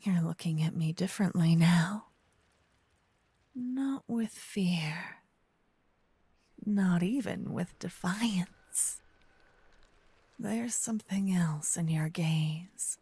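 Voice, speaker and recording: A woman voicing a gentle, possessive, seductive female vampire lover in a breathy, intimate whispered ASMR roleplay, close to a binaural microphone. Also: on the low side, dry recording, heavily acted. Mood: seductive, tender. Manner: slow, intimate, lingering delivery with solemn romantic phrasing. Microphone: close binaural microphone, ear to ear.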